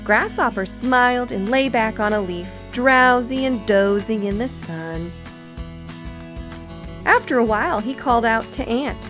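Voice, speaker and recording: Slow-paced woman reading a storybook. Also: fairly high pitch, music in the background. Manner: expressive, deliberate. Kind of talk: animated storybook narration for children.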